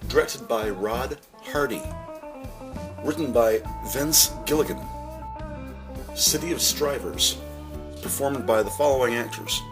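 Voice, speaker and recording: A man reading scripted descriptive narration in a measured, announcer-like way. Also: mid-range voice. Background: background music.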